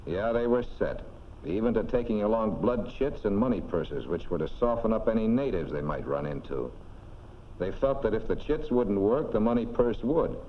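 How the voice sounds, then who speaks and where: Male narrator, 1940s instructional film, fairly low, punchy folksy delivery, dull and slightly noisy audio. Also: expressive, moderate pace. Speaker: narrator of a military training film.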